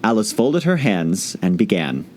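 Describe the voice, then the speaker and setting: A man narrates a classic storybook for an audiobook. His delivery is scripted storytelling, with highly varied, expressive pitch that moves up and down a great deal. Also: a little slow, a little hiss or hum.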